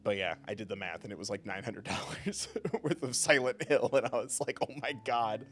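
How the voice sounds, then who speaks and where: A man's voice: lowish voice, a little fast, a bit bright, a little hiss or hum. A man live-streaming a game, chatting in a jokey, playful and rambling way. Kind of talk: casual live-stream commentary.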